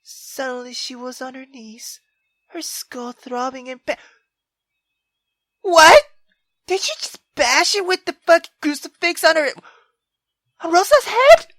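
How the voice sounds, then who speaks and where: A man reading a visual novel aloud as a let's player, expressive and informal. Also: extremely high pitch, unhurried, pauses often, no echo.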